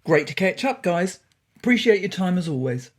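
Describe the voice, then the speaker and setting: A woman's voice: fairly low pitch, sing-song, moderate pace, voice pushed up. A woman voicing a pompous, opinionated male cat in a storybook, talking with mocking, derisive amusement. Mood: smug.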